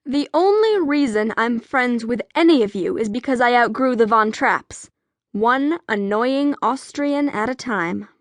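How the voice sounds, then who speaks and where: A woman playing a sharp-tongued, wry teenage girl in a full-cast audiobook, dry and sardonic. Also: fairly high pitch, expressive, unhurried, no room sound.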